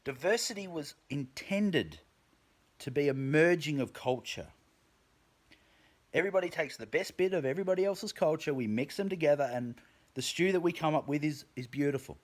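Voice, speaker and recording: A man's voice: fairly high pitch, expressive, moderate pace. A man delivering a profane opinion rant to camera, emphatic and full of swearing, often stumbling and restarting his words. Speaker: vlogger.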